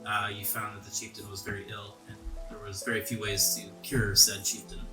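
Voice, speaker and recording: A man's voice: lowish voice, flat delivery, fairly quick, roomy sound, low-quality recording. A man narrating a tabletop roleplaying game as its game master. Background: background music.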